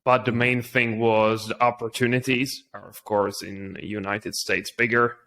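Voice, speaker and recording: A man's voice: lowish voice, fairly even intonation, normal speed, gravelly, bright, forceful tone. A man from the Czech Republic with a Czech accent, speaking English as a second language as an interview guest telling his story conversationally.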